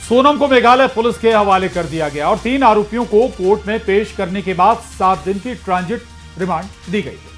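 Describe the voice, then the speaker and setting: Male news co-anchor over soft music. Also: high voice, normal speed. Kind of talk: scripted news presenting.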